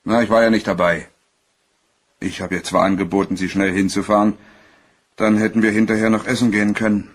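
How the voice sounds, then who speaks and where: An actor playing a plain-spoken, slightly guarded shipping clerk in a crime radio play, answering matter-of-factly. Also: male voice, fairly low pitch, level tone, brisk, pauses often, lightly acted.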